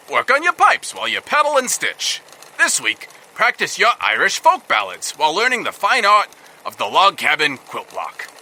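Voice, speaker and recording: A man's voice: a little high, pushed and bright, a little hiss or hum, exaggerated voice. A man playing a cheesy upbeat local radio ad announcer with a punchy, salesy delivery.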